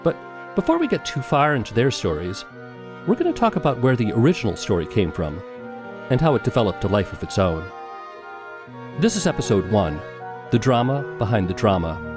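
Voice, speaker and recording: A man's voice: mid-range voice, huge pitch swings, fairly quick, long pauses, over music. A man hosting a documentary podcast and reading scripted narration. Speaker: podcast host.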